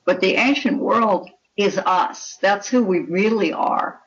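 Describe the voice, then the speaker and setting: A woman's voice: medium pitch, takes it slowly. An older woman speaking as a guest author in a conversational interview, with an opinionated, emphatic and instructive manner. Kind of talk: conversational interview talk on writing. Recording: dull, lo-fi connection.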